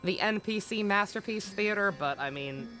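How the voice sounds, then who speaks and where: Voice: mid-range voice, lively intonation, moderate pace, pushed and bright, faint music behind. A game streamer giving casual, rambling live commentary, peppered with swearing and exclamations.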